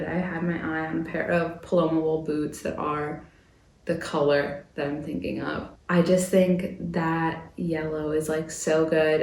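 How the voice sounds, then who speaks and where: A woman's voice: sits low, darkish tone. A young woman in her twenties, talking through fashion trend picks in a chatty vlog while recovering from a cold. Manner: chatty, lots of "like" fillers. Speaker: fashion vlogger. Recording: echoey room.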